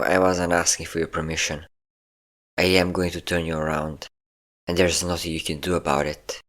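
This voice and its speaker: A man's voice: a bass voice, fairly bright tone. A man voicing a possessive, sadistic and flirtatious vampire captor in an intimate roleplay audio drama, teasing and commanding. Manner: teasing, commanding, theatrically smug.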